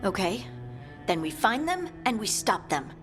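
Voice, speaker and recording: A woman's voice: medium pitch, big pitch swings, unhurried, a bit bright, soft background music. An actress playing a determined, worried female scout in a fantasy video game, speaking plainly and with urgency. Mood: earnest. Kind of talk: cinematic video game dialogue.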